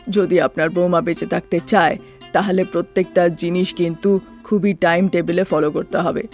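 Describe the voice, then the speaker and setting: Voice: mid-range voice, vocal fry, natural voice. A voice actor playing a blunt male doctor who firmly lectures a family in a storybook cartoon. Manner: firm, lecturing.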